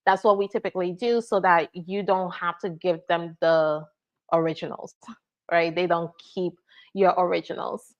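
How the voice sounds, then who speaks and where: A woman from Nigeria, working as an immigration attorney, answers viewer questions in a relaxed live Q&A and keeps checking in with "right?" and "all right?". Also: on the low side, moderate pace, lo-fi sound. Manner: explanatory, frequent "right?" and "all right?" check-ins.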